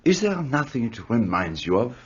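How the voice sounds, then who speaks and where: A precise middle-aged detective: measured, formal, husky, dull lo-fi sound. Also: male voice, mid-range voice, big pitch swings. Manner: measured, formal, faintly foreign phrasing. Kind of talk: radio play acting. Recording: dull muffled studio audio.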